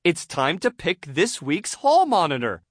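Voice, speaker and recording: A man's voice: on the high side, huge pitch swings, measured pace, no room sound, studio quality, voice pushed up, exaggerated voice. A man voicing a flustered, exasperated female pufferfish schoolteacher in a children's storybook, hesitant and full of dread. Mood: commanding.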